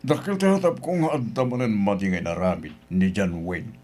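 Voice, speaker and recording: A man's voice: on the low side, moderate pace, a little mellow, natural voice. A man with an Ilocano Filipino accent acting a worried, protective middle-aged father in an old-time radio drama, serious and emphatic. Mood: worried, suspicious.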